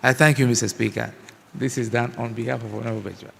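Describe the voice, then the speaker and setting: A man with a Ghanaian accent delivering a formal speech as guest of honour at a youth parliament, with a measured delivery. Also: medium pitch, steady tone, creaky, background noise. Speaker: guest speaker. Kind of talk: formal address. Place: large hall. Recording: long hall reverb.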